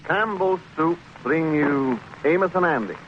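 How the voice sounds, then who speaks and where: A man's voice: on the high side, a little slow. A man working as a radio announcer, reading scripted scene-setting narration in 1940s broadcast style. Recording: muffled vintage radio broadcast, faint noise.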